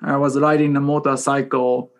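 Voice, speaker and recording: A man in his forties from Japan with a Japanese accent, speaking English as a second language as a podcast guest, telling a personal story in a halting, self-deprecating, joking way. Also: medium pitch, flat delivery. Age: 40s. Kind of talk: casual personal storytelling in an interview.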